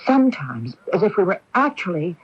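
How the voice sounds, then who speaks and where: An actress playing an anxious, frightened woman with a split personality in a radio mystery drama, halting and confiding. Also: lowish voice, sing-song. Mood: fearful, confiding. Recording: muffled old AM radio broadcast.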